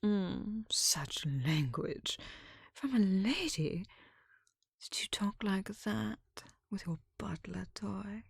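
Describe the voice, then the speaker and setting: A woman plays a haughty, scheming lady in an audio drama. Her voice is breathy, slow and close, with a dry sound. She is condescending, with a spiteful sweetness. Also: mid-range voice, animated, no room sound, exaggerated voice. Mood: smug, menacing. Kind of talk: ASMR audio drama acting.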